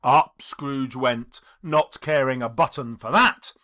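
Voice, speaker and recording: A man's voice: mid-range voice, takes it slowly, fairly bright tone. A man reading a classic story as an audiobook, with theatrical, emphatic phrasing and dramatic stresses. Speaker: audiobook reader, English teacher. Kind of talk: dramatic scripted audiobook narration.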